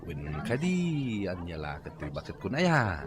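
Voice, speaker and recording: An actor with an Ilocano accent playing a playful fish-peddling husband in a comedy radio drama, coaxing and bantering. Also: male voice, sits low, very animated, normal speed, a bit bright. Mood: grumbling.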